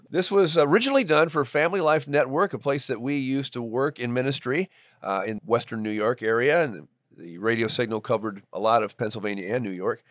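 A man's voice: mid-range voice, no room sound, studio quality. A man co-hosting a podcast in a playful, bantering way. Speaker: podcast co-host. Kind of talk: scripted introduction and casual joking chat.

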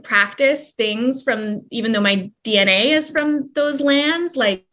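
A woman's voice: on the high side, a little slow, fairly bright tone. A woman from Canada, speaking as an interview guest in a long, reflective way with many fillers and restarts. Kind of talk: conversational interview.

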